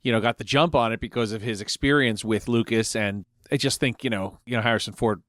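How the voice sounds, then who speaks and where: A man's voice: medium pitch, a little fast, fairly bright tone, dry recording, studio quality. A man co-hosting a casual fan discussion, opinionated and emphatic in how he talks. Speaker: podcast co-host.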